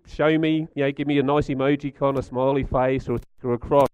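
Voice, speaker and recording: Middle-aged man with a croaky voice, chatty talk to an audience, mid pitch, dry muffled recording. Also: flat delivery, a little fast, darkish tone, no room sound. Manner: chatty, stats-driven, asks the audience questions. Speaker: speaker at a community gathering.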